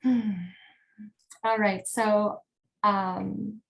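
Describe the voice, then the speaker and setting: A woman giving a live spiritual reading over a video call, soft-spoken and often checking in with questions. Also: medium pitch, flat delivery, drawn-out words, gravelly, rough recording. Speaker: spiritual medium.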